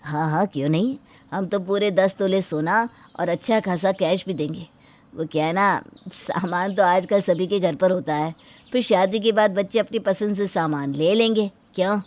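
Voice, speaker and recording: A woman's voice: lowish voice, moderate pace, lightly acted. Woman acting as proud, stubborn bride's father. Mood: agreeable. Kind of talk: dramatized Hindi moral story acting.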